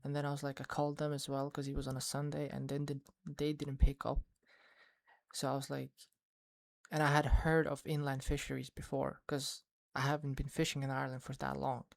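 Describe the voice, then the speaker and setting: A man's voice: medium pitch, monotone, brisk, creaky, dry recording. A man from Sweden, a fishing vlogger recapping events in a casual, rambling voice-over full of restarts. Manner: rambling, many restarts and fillers.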